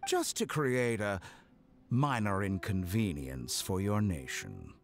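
An actor playing a suave, scheming male villain in a game cutscene, smooth and condescending, with music underneath. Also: fairly low pitch, very animated, slow, exaggerated voice. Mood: mocking. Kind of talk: video game voice acting.

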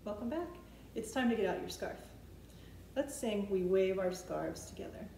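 A woman's voice: medium pitch, animated, normal speed, creaky, mellow. A middle-aged woman hosting a preschool storytime, giving simple step-by-step prompts to small children. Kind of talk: children's storytime instruction, rhymes and counting. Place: indoors, open living room and kitchen at home. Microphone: camera mic a few feet away. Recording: echoey room with faint background noise.

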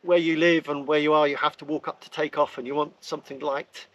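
Middle-aged male reviewer, slightly high voice, chatty and enthusiastic, recorded outdoors in a garden. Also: level tone, brisk, lo-fi sound. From England. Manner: chatty, enthusiastic, frequent restarts. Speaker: gear reviewer. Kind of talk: casual product review.

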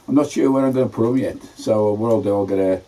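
Homely man in his fifties, low dark voice, chatty allotment vlogger, faint background noise. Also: fast, low-quality recording. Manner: chatty, homely, plain-spoken. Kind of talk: casual gardening vlog narration. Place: inside a greenhouse on an allotment.

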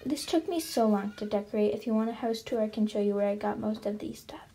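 A woman improvising a role-play story as a mother character, chatty and play-acting, sometimes hushed. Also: mid-range voice, quick pace, breathy edge. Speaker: role-play video narrator. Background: background music.

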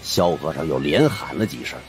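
A man's voice: rumbling low, lively intonation, moderate pace, faint music behind. A man narrating a horror story in a suspenseful, theatrical way. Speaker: audiobook narrator.

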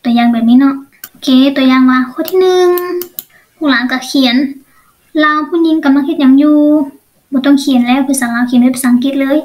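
A woman's voice: high-pitched, fast talker, a little mellow. A woman with a Lao accent teaching English in an online lesson, explaining step by step and often asking check questions.

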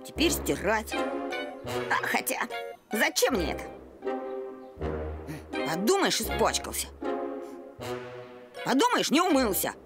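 A carefree young boy puppy from a children's cartoon. The boyish voice is high and very lively, quick to grumble or exclaim. Music plays underneath. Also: heavily acted. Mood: puzzled, uncertain. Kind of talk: children's animated series acting.

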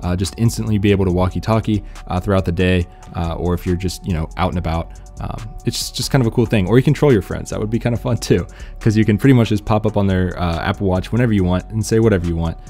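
A man's voice: low-pitched, quick pace, dark tone, soft background music. A man giving casual, laid-back tech news commentary in an informal, slangy way.

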